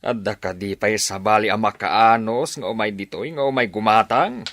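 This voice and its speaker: Low-voiced stubborn villager. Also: male voice, normal speed, fairly bright tone, no room sound. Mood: defensive. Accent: Filipino, Ilocano. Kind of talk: Ilocano radio drama acting.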